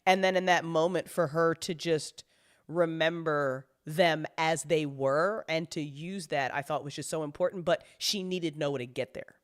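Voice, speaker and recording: A mid-pitched speaker holds forth in a casual live chat as a writer of audio drama. The delivery is rambling and dramatic, full of slang and swearing. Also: fairly even intonation, unhurried, clean tone.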